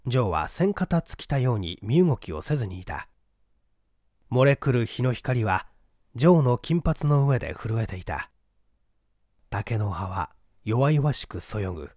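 Male audiobook narrator, dramatic literary mystery reading, expressive pitch movement, dry sound. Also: a little slow, no echo.

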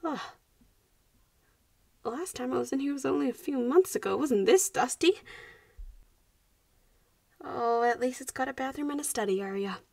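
A woman playing a teasing, haughty yet protective young vampire schoolgirl in a roleplay audio drama, speaking with deliberate phrasing and sighs. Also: sits high, creaky, a little mellow, no room sound. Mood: relieved.

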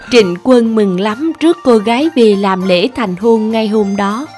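A woman reading scripted narration for an animated folk tale. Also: mid-range voice, takes it slowly, faint music behind.

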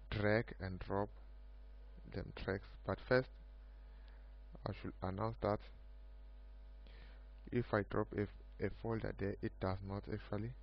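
A man giving an unscripted screen-recorded software demo of his own project, speaking haltingly in short broken phrases. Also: lowish voice, vocal fry. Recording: muffled lo-fi mic with background hum.

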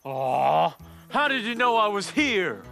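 A woman voicing a gentle, timid young male moose who sounds wistful and eager. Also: medium pitch, big pitch swings, slow, gravelly, faint music behind, exaggerated voice. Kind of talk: children's storybook acting.

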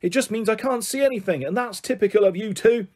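A man's voice: sits high, steady tone, normal speed, gravelly, voice pushed up. A man voicing an indignant eleven-year-old boy in a children's storybook, outspoken and argumentative. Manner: shouting, argumentative.

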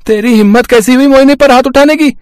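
Henpecked cartoon schemer, voiced by a man: high male register, whiny theatrics, dry close sound. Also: quick pace, smooth, no echo. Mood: defiant. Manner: complaining, theatrical.

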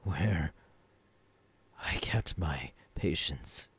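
A man playing a tormented, menacing young ghoul king in an ASMR audio roleplay, in a breathy, often whispering voice with brooding phrasing. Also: rumbling low, expressive, very slow, dry recording, full character voice. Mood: tense, weary. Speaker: voice actor.

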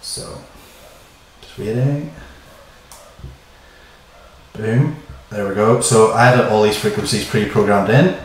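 Deep-voiced man in echoey room. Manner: informal, plain-spoken. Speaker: host of a how-to video. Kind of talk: casual tutorial explanation. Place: small bare-walled room indoors. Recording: echoey small room, distant mic, faint noise.

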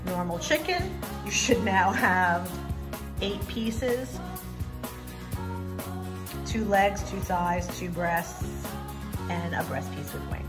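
A woman's voice: fairly low pitch, animated, takes it slowly, long pauses. Woman demonstrating over music. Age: middle-aged. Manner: explanatory, step-by-step. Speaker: cooking show host. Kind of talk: casual recipe demonstration. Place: home kitchen, indoors. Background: music, frying and sizzling sounds.

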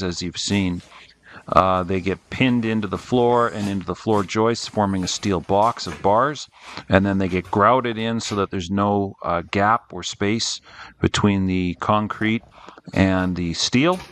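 A man's voice: on the low side, fairly bright tone, slight background noise. A man, a spray foam contractor, giving a plain-spoken voice-over about a basement job with frequent filler words.

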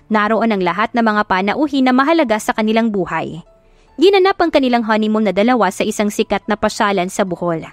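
A woman's voice: medium pitch, no echo. Filipino female audiobook narrator for scripted romance, dry sound, music bed. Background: background music.

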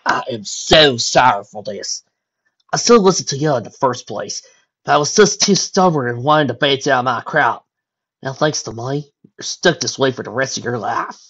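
A woman's voice: extremely low pitch, lively intonation, fairly bright tone, breathy edge, heavily acted. A woman voicing a plain-spoken, remorseful female farm pony with an earnest manner in a story reading. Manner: slow, earnest. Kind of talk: fan fiction story reading. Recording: dull lo-fi recording.

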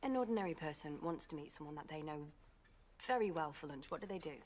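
A sharp, indignant young companion. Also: female voice, deep voice, animated, slight background noise. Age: young adult. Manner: quick, teasing, indignant. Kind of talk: scripted TV drama acting. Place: period restaurant set.

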